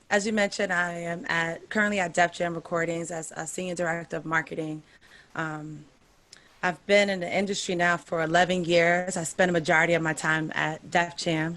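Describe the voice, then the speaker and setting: A woman on an online panel, describing her marketing job in halting speech with many restarts and repeated words. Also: fairly low pitch, fairly bright tone. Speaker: panel guest, music marketing director.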